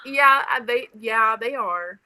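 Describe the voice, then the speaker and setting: Woman, 30s, podcast guest: casual, bright tone, trails off, frequent laughs, dry close mic. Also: on the high side, slow pace, no room sound, poor audio. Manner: chatty, laughs often, trails off. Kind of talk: casual interview chat.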